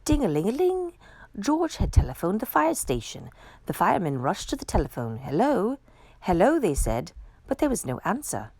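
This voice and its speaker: A woman reading a children's picture book aloud with expressive, dramatic storytelling. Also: mid-range voice, normal speed, creaky, no echo.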